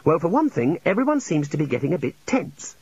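A man's voice: fairly high pitch, sing-song, brisk, darkish tone, husky, muffled recording, voice pushed up. A man voicing an anxious, exasperated young student wizard in an audiobook, with an earnest, strained, insistent manner. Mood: worried, earnest.

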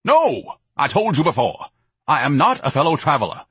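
A man's voice: medium pitch, lively intonation, normal speed, fairly bright tone, husky, dry recording, pitched above the performer's own voice. A man voicing a pompous, bombastic, indignant grown son who declaims haughtily and theatrically in an audiobook. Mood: indignant bellowing.

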